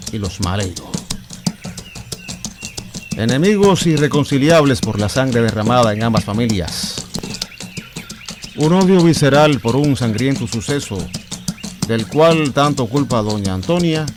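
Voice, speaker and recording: An actor playing a gallant, lovestruck officer in a historical radio drama, speaking with deliberate dramatic pauses. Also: male voice, mid-range voice, natural voice. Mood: brooding. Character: gallant lovestruck young officer, brooding and intense. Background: music.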